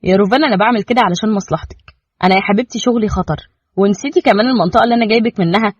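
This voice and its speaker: Brief: woman doing a stern, protective Egyptian cop husband, husky, rapid-fire, dull dry storybook audio. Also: medium pitch, dry recording, natural voice. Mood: firm. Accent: Egyptian Arabic. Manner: firm, lecturing, rapid. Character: protective young male police officer, stern but loving husband. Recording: dull, muffled, dry recording.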